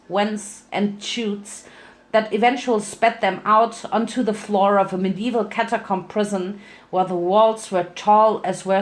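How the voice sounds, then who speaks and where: A woman giving a playful, theatrical dramatic reading of a fan fiction story as its narrator. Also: mid-range voice.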